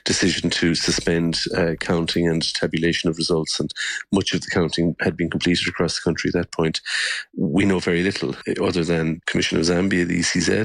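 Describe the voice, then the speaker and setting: A man with an Irish accent and a deep voice gives spontaneous answers in a news interview. He is halting, often doubling back on a word before finishing the sentence. The sound is dry, with little room around him. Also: level tone, vocal fry, fairly bright tone, no room sound. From Ireland. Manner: halting, often repeating words mid-sentence. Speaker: election observer and politician giving interview.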